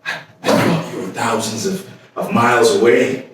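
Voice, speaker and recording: An actor playing an anguished man haunted by obsessive love, in an intense, halting theatrical monologue. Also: medium pitch, lightly acted. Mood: haunted, hesitant. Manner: intense, halting, with repetitions and sudden swells. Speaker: stage actor. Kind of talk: theatrical staged reading, dramatic monologue. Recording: echoey room from far off, faint noise.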